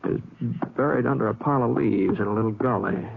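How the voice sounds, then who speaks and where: An actor playing an easygoing cowboy drifter with an American accent, in the folksy, hesitant style of old radio drama. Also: male voice, on the low side, fast, gravelly. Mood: grave, wondering. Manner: folksy, hesitant, plain-spoken drawl. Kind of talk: 1950s radio drama acting and first-person narration. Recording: scratchy, tinny, noisy old radio recording.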